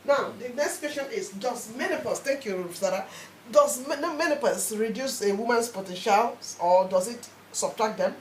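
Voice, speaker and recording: A woman's voice: a little high, lively intonation, normal speed, reverberant, slight background noise, low-quality recording. A woman hosting a radio talk show who introduces her guests and presses them with repeated questions.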